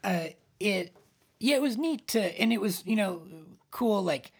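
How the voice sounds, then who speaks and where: A middle-aged man co-hosting a podcast in casual chat, with a voice he calls a bit high, rambling with many restarts. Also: animated, measured pace, creaky, husky. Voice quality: says his voice is on the high side. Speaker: podcast co-host.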